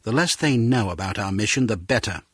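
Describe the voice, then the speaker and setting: Husky, measured spy chief. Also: male voice, on the low side, expressive, fairly bright tone, no room sound, studio quality. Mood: measured, firm. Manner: measured, formal, understated. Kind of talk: video game voice acting.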